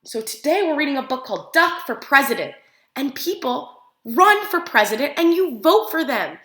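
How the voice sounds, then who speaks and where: A woman preschool teacher reading a picture book aloud to young children, expressively, pausing to put questions to her listeners. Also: sits high, sing-song, a bit bright. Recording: roomy, echoing room sound.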